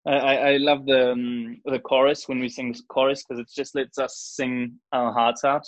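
A man's voice: mid-range voice, level tone, normal speed, no room sound. A young man from Switzerland with a New Zealand-influenced accent, chatting earnestly and casually as an interview guest. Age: young adult. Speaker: podcast guest, church worship singer.

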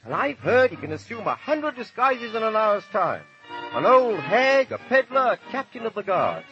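An actor playing an excitable, theatrical gossip full of awe, in 1930s radio drama style. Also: male voice, high-pitched, moderate pace, over music. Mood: awed, gossipy. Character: excitable English gossip marveling at a mysterious hero. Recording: dull 1930s radio broadcast.

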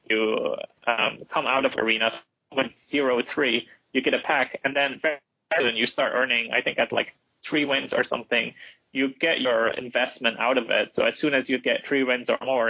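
A man's voice: medium pitch, steady tone, fairly quick, gravelly, husky, dry recording, dull audio. A man from Flanders with a Flemish Dutch accent, chatting casually as a podcast guest with many fillers and restarts. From Flanders, Belgium. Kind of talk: casual game chat.

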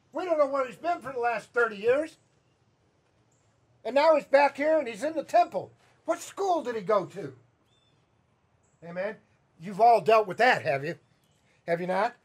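A man's voice: very high voice, husky. A man from Chicago with a Chicago accent, preaching a sermon as pastor in a conversational, teasing way and asking the congregation questions. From Chicago, Midwest US. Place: church sanctuary.

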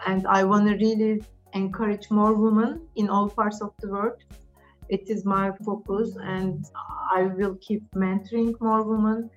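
A woman's voice: medium pitch, flat delivery, unhurried. A middle-aged woman with a Turkish accent answers interview questions earnestly and directly, her English non-native and full of small restarts. The audio is a little muffled, with faint music underneath. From Turkey. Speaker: interview guest, business leader.

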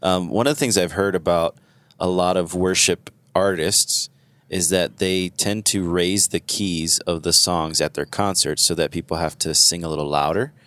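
A man's voice: low-pitched, steady tone, a bit bright, no echo. A man co-hosting a podcast, asking curious questions one after another. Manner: curious, asks questions in quick succession. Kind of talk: podcast conversation.

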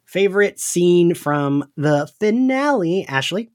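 A man hosting a casual discussion podcast. His pitch swings widely as he talks, and he comes across as agreeable and giggly while steering the conversation. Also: big pitch swings, takes it slowly. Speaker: podcast host. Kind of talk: casual chat.

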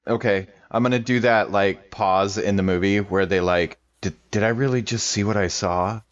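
A man with a low voice plays a tabletop game, chatting casually through what his character does. His track sounds dull and a little lo-fi. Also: steady tone, moderate pace. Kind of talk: casual role-play chat.

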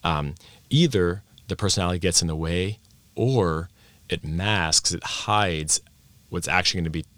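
A man's voice: deep voice, a little slow, a bit bright, no room sound. A man who grew up in Austria, a language teacher and presenter, talking reflectively with frequent restarts. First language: English and German bilingual. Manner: reflective, many restarts and self-corrections. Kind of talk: conversational podcast interview.

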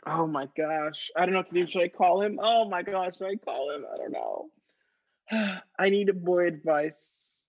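A woman's voice: fairly low pitch, moderate pace, darkish tone, breathy edge. Woman acting a whiny lovesick ditz, slightly muffled. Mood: anxious, dithering. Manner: rambling valley-girl whining. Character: whiny lovesick ditzy woman seeking boy advice. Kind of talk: improvised comedy sketch.

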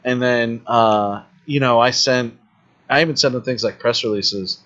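A man guesting on a podcast, telling animated stories with plenty of swearing. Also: medium pitch, unhurried, muffled recording. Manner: animated storytelling, heavy swearing. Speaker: filmmaker guest. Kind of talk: casual podcast chat.

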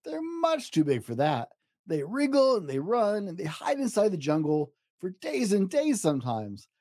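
A man's voice: sits high, huge pitch swings, moderate pace, darkish tone, no room sound, studio quality, voice pushed up, full character voice. A man voicing a defiant little boy who pretends to be a growling dinosaur in a children's storybook. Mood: boisterous defiance. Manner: boisterous, growling and roaring.

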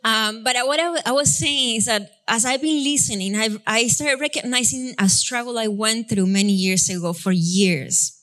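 A woman's voice: on the high side, normal speed, hardly any pauses, a bit bright. A young adult woman pastor from Argentina with an Argentine Spanish accent, Spanish her first language, leading prayer and then preaching in a chatty, self-deprecating way with frequent laughs. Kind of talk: sermon with congregational prayer. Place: church sanctuary.

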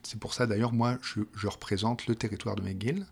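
A man's voice: on the low side, steady tone, a little fast, vocal fry, breathy edge. A middle-aged man from France with a Parisian accent, explaining his work in an interview, hesitant with many restarts. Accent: Parisian French. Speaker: project manager for a provincial health plan.

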